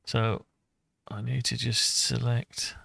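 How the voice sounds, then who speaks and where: A man thinking aloud and muttering to himself through an unscripted software walkthrough. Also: mid-range voice, monotone, slow, vocal fry, slightly rough, dry recording, studio quality. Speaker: hobbyist tutorial maker.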